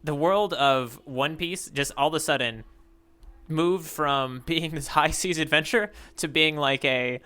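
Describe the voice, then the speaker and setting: A man's voice: fairly high pitch, measured pace, sharp tone, clean tone. A man co-hosting a podcast, talking in a casual, rambling way with lots of fillers and restarts. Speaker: podcast co-host. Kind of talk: casual chat.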